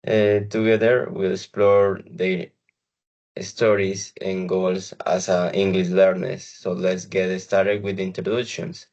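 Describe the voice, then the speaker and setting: Deep-voiced Colombian man, halting and flat. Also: creaky, dull audio. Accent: Colombian Spanish. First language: Spanish. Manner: hesitant, halting phrasing. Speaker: podcast host and language student. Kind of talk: student podcast hosting.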